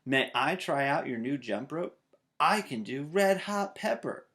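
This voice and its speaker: A man voicing a lively, competitive six-year-old girl bear cub in a storybook. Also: on the high side, measured pace. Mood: friendly.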